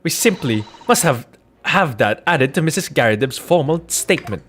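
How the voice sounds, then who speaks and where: A man's voice: fairly high pitch, expressive, moderate pace, a bit bright, soft background music. A man doing a theatrical, articulate read-through of courtroom video game dialogue. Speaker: video game streamer reading dialogue aloud.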